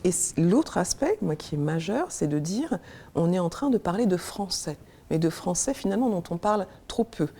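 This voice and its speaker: A woman's voice: lowish voice, expressive, normal speed, dark tone, husky. A woman from Congo, a senior civil servant, speaking earnestly as a guest in a studio discussion and searching for words as she goes.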